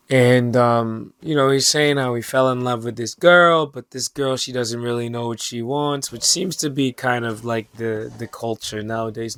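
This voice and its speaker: Young man, 20s, hoarse, raspy, rambling monologue, lots of fillers, casual swearing, middle pitch. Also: slight background noise. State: hoarse from losing his voice. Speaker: channel host. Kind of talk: late-night personal monologue.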